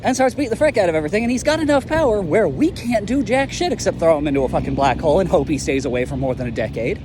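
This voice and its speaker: A man's voice: sits high, animated, very fast, noisy background. A man voicing a stubborn young male hero who rants bluntly and swears. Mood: ranting. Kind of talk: fan audio drama acting.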